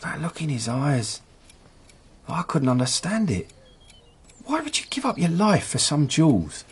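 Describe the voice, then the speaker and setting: A man's voice: on the high side, very animated, a little slow, slight background noise. A man playing a nervous petty crook and hapless henchman in a radio drama, with an English accent and a hesitant, self-excusing manner. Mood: anxious, pleading. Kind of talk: British radio drama acting.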